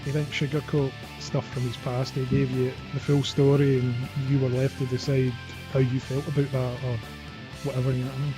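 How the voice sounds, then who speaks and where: Medium-pitched man over plucked guitar music. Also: level tone, fairly quick, rough recording. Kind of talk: casual reminiscing in a group call.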